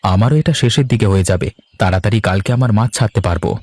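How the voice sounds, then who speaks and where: Man acting a quick, mellow younger farmer brother. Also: on the low side, natural voice. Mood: eager. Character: younger Bengali farmer brother, enterprising, eager, respectful to elder. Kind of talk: cartoon dialogue. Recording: music under the voice. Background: instrumental music.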